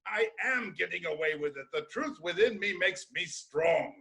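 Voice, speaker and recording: Man acting a high, sharp, defiant blind prophet. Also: expressive, normal speed, gravelly, exaggerated voice. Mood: defiant, accusing. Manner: declamatory, defiant, ominous. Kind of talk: dramatic classical Greek tragedy reading.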